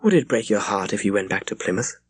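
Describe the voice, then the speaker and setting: A man portrays an old admiral in a naval audiobook. He sounds kindly and authoritative, in a fairly low voice. The recording is dry. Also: very animated, quick pace, husky, no echo. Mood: approving. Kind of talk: audiobook character voice.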